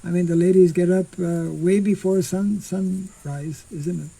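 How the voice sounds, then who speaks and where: An older monk giving an informal scripture class outdoors into a stand mic, speaking slowly and haltingly and clearing his throat often. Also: high voice, steady tone, warm tone, rough recording. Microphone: stand mic in front.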